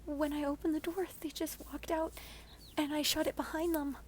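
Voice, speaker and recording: Woman acting a frightened, hushed neighbor woman. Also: high voice, little pitch movement, moderate pace, slight background noise, pitched above the performer's own voice, lightly acted. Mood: shaken. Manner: hushed, breathy, stammering. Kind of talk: whispered horror story acting.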